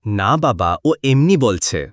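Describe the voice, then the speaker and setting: A man as an agreeable young husband, animated. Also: fairly low pitch, a little slow, no echo, clean studio sound. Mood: respectful. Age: young adult. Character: young barber husband, considerate, agreeable village son-in-law. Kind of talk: cartoon folk-tale dialogue.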